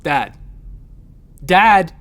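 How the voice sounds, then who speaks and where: A man's voice: fairly high pitch, expressive, brisk. An actor playing an irritable, sarcastic, talkative male doctor in command of a space station in a sci-fi audio drama. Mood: exasperated. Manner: wry, sarcastic, exasperated, talkative. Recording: audio drama with music underneath.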